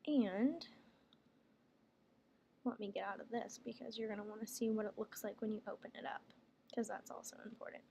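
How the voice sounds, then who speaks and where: A woman working as a software trainer, explaining each step plainly in a casual screen tutorial. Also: medium pitch, fairly even intonation, moderate pace, pauses often. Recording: clear background noise, room ambience.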